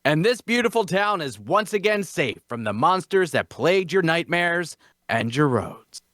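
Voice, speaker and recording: A man's voice: a little high, big pitch swings, moderate pace, a bit bright, no echo, studio quality. A man playing a self-important adventurer, telling a heroic tale in a grandiose, theatrical manner at a table read. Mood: triumphant. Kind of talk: dramatic fantasy dialogue reading.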